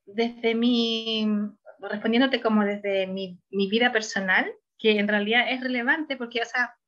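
A woman's voice: fairly high pitch, fairly even intonation, unhurried, a bit bright, dull audio. A woman, a researcher answering interview questions in a thoughtful, self-correcting way. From Chile. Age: 40s. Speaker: researcher guest.

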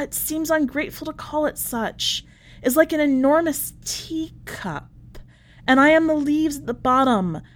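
A woman voicing a polite, chatty, quietly unhinged prisoner writing a letter, in a prim manner, as a dramatic story reading. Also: high voice. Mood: wistful, musing. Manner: prim, ornate, politely understated phrasing.

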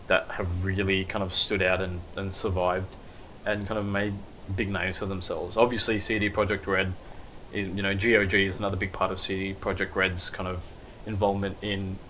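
A man's voice: deep voice, flat delivery, moderate pace, bright, forceful tone, slight background noise, low-quality recording. A man giving a casual, rambling recap of news, with lots of basically and kind of.

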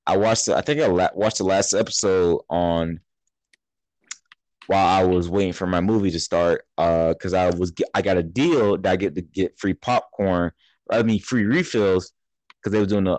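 A man's voice: sits low, normal speed, a little mellow, no echo, poor audio. A young man hosting a podcast, chatting casually and rambling, often restarting his sentences. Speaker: podcast host. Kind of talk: casual chat.

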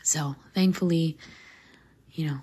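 A woman in her thirties, hoarse from a flu, hosting a faith podcast in an earnest, casual way, laughing easily and dropping to a soft near-whisper. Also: sits low, fairly even intonation, slow pace. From Canada. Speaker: podcast host.

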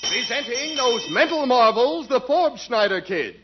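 Showy high-voiced male announcer. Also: animated, loud music behind the voice. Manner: showy, drawn-out introductions. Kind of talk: 1940s radio announcing. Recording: dull old radio broadcast.

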